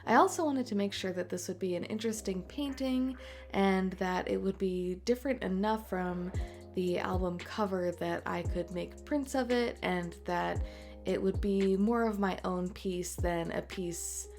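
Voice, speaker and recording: Raspy, congested woman rambling softly. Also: medium pitch, unhurried. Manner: soft, rambling, many ums and uhs. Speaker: artist and tattooer. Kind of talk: voice-over chat during a speedpaint. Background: faint music.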